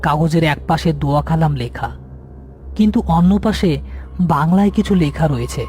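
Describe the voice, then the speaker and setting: A man's voice: fairly high pitch, animated, brisk, darkish tone, slight background noise. A man narrating a scripted ghost story, with music behind him.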